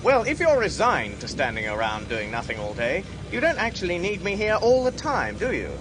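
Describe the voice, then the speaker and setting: A man's voice: sits high, huge pitch swings, moderate pace, noisy background. An actor playing a bewildered, exasperated ordinary Englishman in a radio comedy, wry and querulous. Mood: wry, reasoning.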